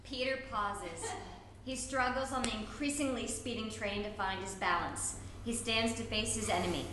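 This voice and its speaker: A woman's voice: on the high side, normal speed. A woman reading scripted stage directions as narrator at a live play reading. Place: live performance in a room. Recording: roomy live recording, faint noise.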